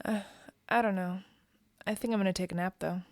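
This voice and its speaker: Girl playing a worried hesitant woman, lots of vocal fry, kinda subdued, dry close mic, drama podcast. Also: mid-range voice, fairly even intonation, a little fast, darkish tone, no room sound, close to an everyday voice. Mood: tired, evasive. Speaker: voice actor in audio drama. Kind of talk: naturalistic audio drama dialogue.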